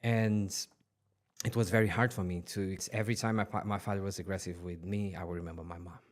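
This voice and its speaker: Deep-voiced man telling his life story. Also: steady tone. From Portugal. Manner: earnest, halting, many restarts. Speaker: podcast guest.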